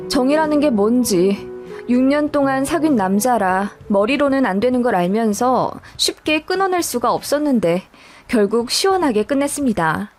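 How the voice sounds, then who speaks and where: A woman's voice: on the high side, a little mellow. A woman reading a scripted first-person personal story as a narrator, in a storytelling style. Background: background music.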